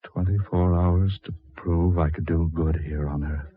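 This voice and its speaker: A haunted, deep-voiced husband back from death. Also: male voice, fairly even intonation, husky. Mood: ominous, insistent. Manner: halting, melodramatic delivery. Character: dazed husband returned from death, haunted and grave. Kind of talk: 1940s radio acting. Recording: scratchy, tinny 1940s radio recording.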